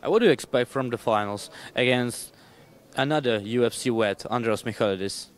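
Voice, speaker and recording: A man's voice: mid-range voice, brisk. A man working as a sports reporter, putting plain, direct questions to a fighter in English. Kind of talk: interview questions.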